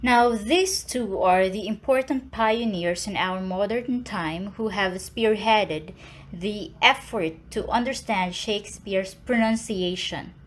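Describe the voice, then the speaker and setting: A woman with a Filipino accent lectures on English sounds, pronouncing letters and sounds one at a time. She talks very slowly and deliberately at a mid pitch, like a teacher going over slides. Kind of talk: slow, deliberate classroom lecture.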